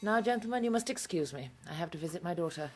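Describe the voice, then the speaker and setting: An actress playing a guarded Victorian widow who speaks formally and curtly in a radio play. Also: female voice, on the low side, lively intonation, quick pace, a little mellow, soft background music, close to an everyday voice. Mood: cold, guarded. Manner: formal, curt, distant. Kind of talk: radio drama acting.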